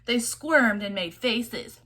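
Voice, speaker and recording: A woman's voice: a little high, expressive, takes it slowly, bright, forceful tone. A woman storyteller reading a children's picture book aloud expressively, adding chatty asides to the listeners.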